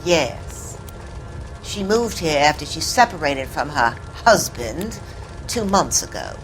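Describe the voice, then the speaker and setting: A woman's voice: deep voice, big pitch swings, takes it slowly, soft background music, exaggerated voice, rough recording. An evasive, indignant landlady. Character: defensive landlady protecting her reputation.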